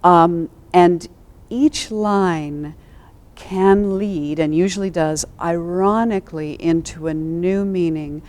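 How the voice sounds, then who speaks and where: A woman speaking as a theater expert on a panel, explaining things in a measured, thoughtful and articulate way. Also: on the low side, a little mellow. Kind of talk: explanatory discussion and spoken introduction.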